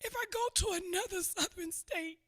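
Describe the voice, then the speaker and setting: A woman playing a grieving, pregnant widowed mother in the rural South, slow and pleading, in a radio theater play. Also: very high voice, fairly bright tone. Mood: desperate pleading.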